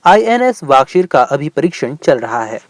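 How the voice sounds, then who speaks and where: A man's voice: mid-range voice, very animated, normal speed, no echo. A man delivering scripted news narration as a voice-over reporter.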